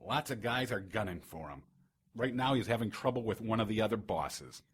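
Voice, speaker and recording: Male actor as scolding noir boss, husky, blunt, slangy, world-weary, 1930s radio drama. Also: on the low side, lively intonation, a little fast. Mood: grave. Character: tough, scolding 1930s detective agency boss.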